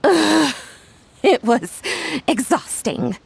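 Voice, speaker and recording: A woman's voice: sits high, lively intonation, extremely slow, gravelly, slight background noise, full character voice. A woman playing a chatty, polite young female engineer in a video game, speaking expressively. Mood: exasperated, chatty. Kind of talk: video game character acting. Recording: music playing behind.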